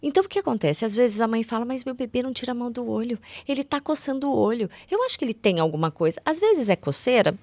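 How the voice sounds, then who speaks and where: A woman's voice: on the high side, lively intonation, fast, few pauses, darkish tone, no room sound. A Brazilian woman, a guest eye doctor on a podcast, explaining conditions conversationally, step by step in everyday words.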